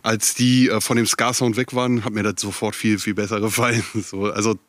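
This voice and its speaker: A man's voice: lowish voice, flat delivery, a little fast, a bit bright. A man talking casually on a podcast in an easygoing way, often chiming in to agree with the others. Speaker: podcast participant. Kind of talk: casual chat.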